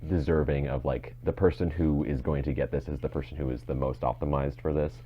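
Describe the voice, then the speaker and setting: A man's voice: rumbling low, steady tone, a little fast, dull audio, slight background noise. A man co-hosting a casual podcast, rambling as he circles back to rephrase his thoughts. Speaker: podcast co-host.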